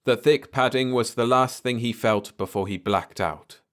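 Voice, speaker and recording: A man's voice: mid-range voice, moderate pace, a bit bright. A man narrating a scripted story as an audiobook reader.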